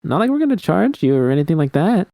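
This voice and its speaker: A man's voice: fairly high pitch, very animated, fast, vocal fry, dark tone, voice pushed up. A man voicing a rowdy, pushy young woman at a bar in an audiobook story, with a taunting manner.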